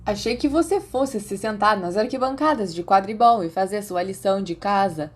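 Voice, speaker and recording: A woman reads as a proud, touchy teenage schoolboy in an audiobook. He sounds sulky and abrupt, quick to take offence. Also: mid-range voice, lightly acted. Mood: demanding. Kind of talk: audiobook character acting.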